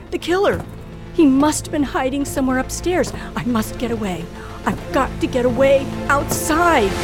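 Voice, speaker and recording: A frightened young woman at the wheel, dramatic and breathless in an old radio thriller. Her voice sits fairly high and she draws her words out slowly, with music underneath in a vintage recording. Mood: panicked. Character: nervous, frightened young woman driver, narrating her ordeal. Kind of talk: old-time radio thriller acting and first-person narration.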